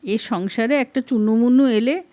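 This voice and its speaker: A woman's voice: fairly high pitch, normal speed, dark tone, close to an everyday voice. A woman voicing a scolding, exasperated middle-aged wife in a story, emphatic and reproachful. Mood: indignant. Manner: emphatic, reproachful, rhetorical questioning.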